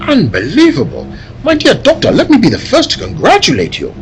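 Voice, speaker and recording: A man's voice: very high-pitched, wildly swooping pitch, a little fast, slight background noise, heavily acted. A man playing a scheming, megalomaniac villain posing as a professor, melodramatic in 1940s radio serial style at a live table read. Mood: amazed, flattering. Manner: melodramatic, exaggerated.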